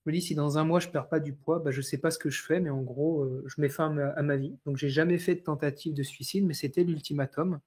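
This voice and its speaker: A man in his thirties from France, a guest sharing his life story in a live online talk, speaking with many pauses and restarts. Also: on the high side, fairly even intonation, darkish tone. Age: 30s. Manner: hesitant, many fillers and restarts.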